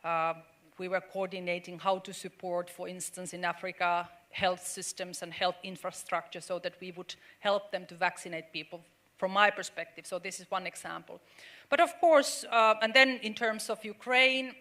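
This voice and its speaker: A middle-aged woman speaks on a forum panel in a bright, forceful voice. She talks earnestly and halts often, restarting phrases and filling pauses as she works through her point. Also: fairly low pitch, fairly even intonation. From Finland. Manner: earnest, halting, with many fillers and restarts. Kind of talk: panel discussion.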